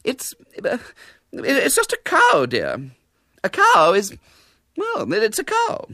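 A man voicing a prim, fussy spinster lady in a storybook reading, with a high, fluttering and hesitant manner. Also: huge pitch swings, takes it slowly, sharp tone, no echo, clean studio sound, full character voice.

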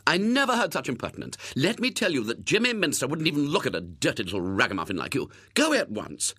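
A man's voice: mid-range voice, wildly swooping pitch, normal speed, fairly bright tone, no room sound, clean studio sound, pitched above the performer's own voice. A man voicing a fussy, doting and haughty mother in a children's story, gushing or indignant. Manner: gushing, indignant when crossed.

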